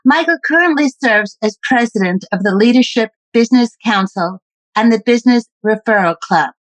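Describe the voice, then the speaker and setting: A woman host with a slightly high voice reads a guest's bio, then asks clear, measured questions. Her sound is dry and close to the mic. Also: expressive, dry recording, rough recording. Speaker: interview host. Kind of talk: reading an introduction, then asking questions.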